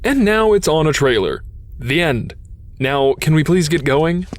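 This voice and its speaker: A man's voice: fairly high pitch, expressive, measured pace, noisy background. A man playing a wisecracking, impatient robot negotiator, sardonic and emphatic.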